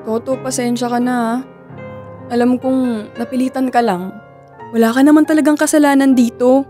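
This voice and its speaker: A woman's voice: on the high side, fairly even intonation, normal speed, warm tone, soft background music, lightly acted. An actress with a Filipino accent playing an anxious, guilt-ridden young woman in radio drama dialogue, fretful and pleading. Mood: remorseful.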